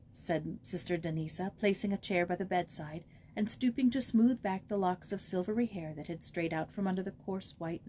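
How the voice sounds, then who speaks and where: A woman's voice: fairly low pitch, warm tone. A woman reading a scripted children's story as an audiobook narrator. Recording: noticeable background noise.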